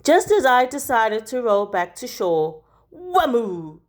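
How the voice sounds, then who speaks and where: A woman reading a children's picture book aloud like a teacher, expressively, with deliberate dramatic emphasis on key words. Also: on the high side, big pitch swings.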